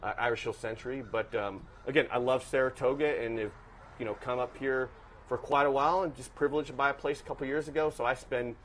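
Brief: man, 50, professor guest, mid-pitch, analytical storytelling, faint ambience behind. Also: steady tone, quick pace, fairly bright tone, slight background noise. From Washington, D.C. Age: 50s. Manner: thoughtful, analytical, with many restarts. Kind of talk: casual interview storytelling.

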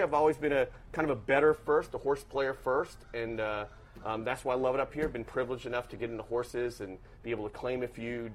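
Brief: man, 50, professor guest, mid-pitch, analytical storytelling, faint ambience behind. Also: a little hiss or hum. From Washington, D.C. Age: 50s. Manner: thoughtful, analytical, with many restarts. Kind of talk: casual interview storytelling.

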